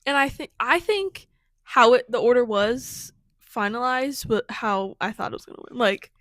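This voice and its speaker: Young woman, slightly high voice, quiet brief answers, dry sound. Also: lively intonation, moderate pace, dry recording. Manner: quiet, brief agreeing replies. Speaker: podcast guest. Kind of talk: casual chat.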